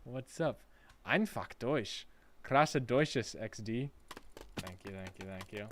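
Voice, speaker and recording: A man's voice: medium pitch, lively intonation, slow, slight background noise. A man chatting casually on a gaming livestream, playful, reading chat aloud and laughing often. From United States.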